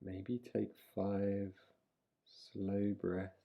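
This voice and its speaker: A man guiding a relaxation meditation in a soothing, unhurried manner, leaving long pauses between short phrases. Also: on the low side, monotone, vocal fry, dull audio. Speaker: meditation guide. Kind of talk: guided relaxation meditation, yoga nidra.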